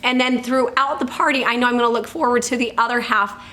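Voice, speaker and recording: A woman in her thirties, a fitness coach giving casual tips to the camera, upbeat and chatty, with a slightly hoarse voice from allergies. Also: a little high, steady tone, quick pace, bright, forceful tone. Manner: upbeat and chatty, with laughs and self-corrections.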